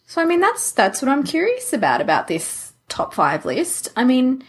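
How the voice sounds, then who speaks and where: A woman's voice: sits high, wildly swooping pitch, normal speed, slightly rough. A woman co-hosting a podcast, chatting casually and posing questions in a thoughtful, tentative way. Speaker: podcast co-host.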